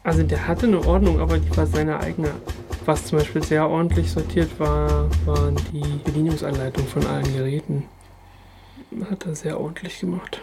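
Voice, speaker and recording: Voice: mid-range voice, steady tone, normal speed, over music. An interviewee recalling the past in a thoughtful, hedging way.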